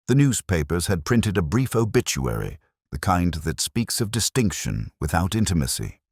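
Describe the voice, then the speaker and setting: A middle-aged man narrating a detective story in the first person as a melancholy, world-weary detective, in a measured, reflective, literary manner. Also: rumbling low, no echo, clean studio sound.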